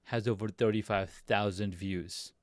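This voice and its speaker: A man's voice: fairly low pitch, fairly even intonation, measured pace, no room sound, clean studio sound. A middle-aged man who grew up in Pakistan, Saudi Arabia and the US, chatting upbeat as a guest on a video podcast, with a few restarts. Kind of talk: casual storytelling on a video podcast.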